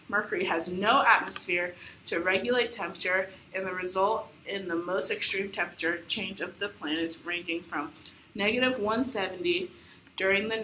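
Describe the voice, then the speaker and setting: A woman's voice: medium pitch, pushed and bright. A woman presenting scripted educational narration for a puppet show. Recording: echoey room, faint background noise.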